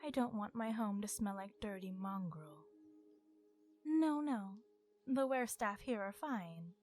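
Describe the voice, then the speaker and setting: A woman voicing a centuries-old vampire in an ASMR roleplay, stern, irritated and possessive, with a measured, formal and often hushed delivery. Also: mid-range voice, animated, soft background music, lightly acted. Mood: curt, commanding.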